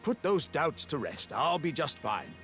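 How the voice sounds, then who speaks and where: A man's voice: on the high side, big pitch swings, unhurried. A man with a British accent voicing a hearty, pompous, good-natured living warrior jar in a theatrical fantasy game style. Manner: grandiose, jovial, old-fashioned gentlemanly phrasing. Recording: music behind the voice.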